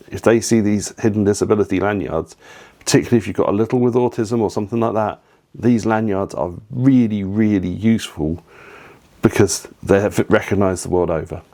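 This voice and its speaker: A man talks through family travel tips in an easy, chatty way. His voice sits fairly low. He drifts into opinionated asides and pauses on plenty of ums. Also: darkish tone. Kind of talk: casual advice talk to camera.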